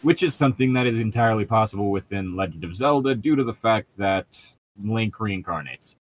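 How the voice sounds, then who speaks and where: A man's voice: fairly low pitch, animated, fairly quick. A man co-hosting a read-along show, chatting casually in an opinionated, rambling, sweary way. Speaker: co-host of a group read-along commentary show. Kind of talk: casual chat and mocking commentary.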